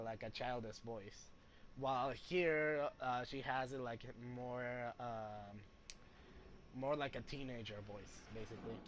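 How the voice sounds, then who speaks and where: A man doing casual livestream game commentary, explaining things in a hesitant, halting way and repeating himself. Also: medium pitch, rough recording. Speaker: game streamer. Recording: game music behind the voice.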